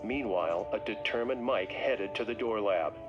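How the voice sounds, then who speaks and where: A man narrating a scripted read-along story for children in a dramatic, expressive way. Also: lowish voice, normal speed, dull audio. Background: music.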